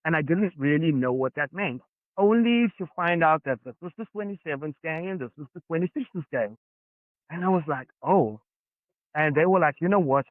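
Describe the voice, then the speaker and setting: A man's voice: fairly high pitch, animated, normal speed, dry recording. A man with a Johannesburg South African accent, earnestly recounting his past as a podcast guest and acting out the dialogue. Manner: earnest, reflective, acts out dialogue. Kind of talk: personal storytelling. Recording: dry, phone-like narrow sound.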